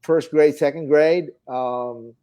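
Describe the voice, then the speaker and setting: Mellow-voiced middle-aged Filipino-accented man. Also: a little high, fairly even intonation, slow. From Philippines. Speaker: guest, fine artist painter. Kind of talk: casual interview conversation, reminiscing.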